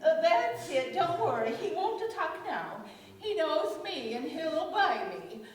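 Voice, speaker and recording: A woman's voice: extremely high pitch, big pitch swings, a little slow, slight background noise, full character voice. A woman playing a firm, scheming female accomplice in a staged radio play, speaking with insistent command. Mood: calm, authoritative. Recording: very echoey, distant stage mic.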